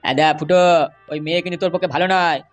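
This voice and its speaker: Cartoon dad, voiced by an actor, blunt and naggy, talks fast fast fast. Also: medium pitch, steady tone, soft background music, lightly acted. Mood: scolding. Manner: scolding, blunt. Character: gruff dismissive father. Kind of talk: cartoon voice acting.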